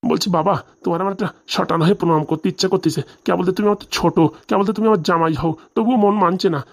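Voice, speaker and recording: Man playing high, rapid, fawning cartoon father-in-law. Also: steady tone, gravelly. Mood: fawning, sentimental. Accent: rural Bengali. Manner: effusive, wheedling, rambling. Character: gluttonous older village father-in-law, fawning and comically sentimental. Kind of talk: comedy cartoon dialogue.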